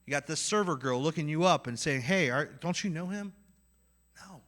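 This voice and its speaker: A man with a Midwestern accent preaching a sermon, acting out inner voices and swinging between loud and quiet. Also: a little high, normal speed. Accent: Midwestern American. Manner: animated, acts out inner voices with swings in loudness. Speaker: pastor.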